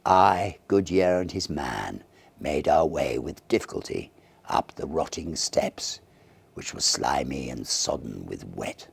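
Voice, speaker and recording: A man reading a Victorian ghost story as an audiobook narrator, theatrical and expressive, with deliberate phrasing. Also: very deep voice, gravelly, slightly rough.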